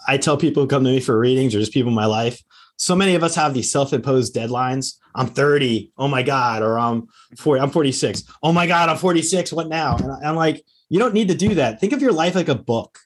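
Husky-voiced man hosting an interview. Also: medium pitch, lively intonation, fairly quick. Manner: encouraging, gives advice. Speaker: host. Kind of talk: conversational interview.

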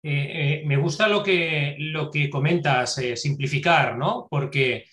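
A man's voice: fairly high pitch, slow, a bit bright. Hesitant man in an echoey room. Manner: hesitant, many fillers and tag questions. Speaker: podcast host. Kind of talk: casual podcast conversation.

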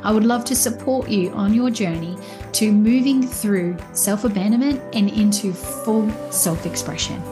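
A woman's voice: medium pitch, moderate pace, over music. A woman, hosting a casual interview podcast in an enthusiastic, chatty way. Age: 40s. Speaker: podcast host.

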